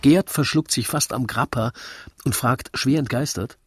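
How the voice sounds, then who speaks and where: An actor playing a wry storyteller who narrates a rhymed radio play with dramatic, drawn-out suspense. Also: male voice, medium pitch, slightly rough, no room sound, studio quality.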